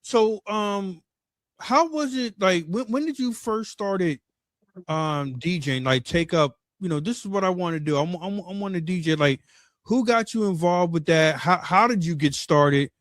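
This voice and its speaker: A man with a notably high speaking voice hosts a casual interview. He speaks excitedly, tripping over his words and repeating himself. The sound is close and dry. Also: measured pace, no room sound. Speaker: interview host.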